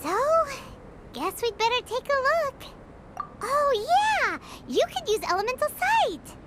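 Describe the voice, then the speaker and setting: A woman's voice: very animated, unhurried, noisy background, exaggerated voice. A woman voicing a small, cheeky fairy companion in a video game, who bubbles along and talks about herself in the third person. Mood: eager. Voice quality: high, squeaky, childlike. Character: tiny floating fairy-like companion, chirpy and greedy. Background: game music.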